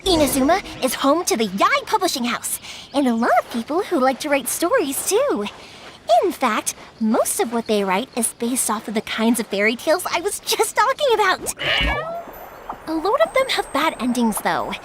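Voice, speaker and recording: An actress playing a lively, chatty young fireworks maker who tells stories affectionately. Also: female voice, high-pitched, normal speed, background noise. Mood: cheerful. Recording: game audio with music behind.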